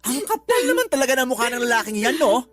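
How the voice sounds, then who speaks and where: Feisty, protective cousin over music. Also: female voice, a little high, very animated, quick pace. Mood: scornful, sassy. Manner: indignant, emphatic, sassy. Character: feisty, protective female cousin, sharp-tongued and loyal. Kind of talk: Tagalog radio drama acting. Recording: music under the voice.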